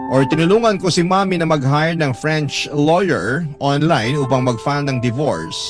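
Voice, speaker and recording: A man's voice: medium pitch, lightly acted. A man playing a sincere adult son who narrates his family story in a sentimental, scripted radio drama style. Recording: prominent instrumental music under the voice.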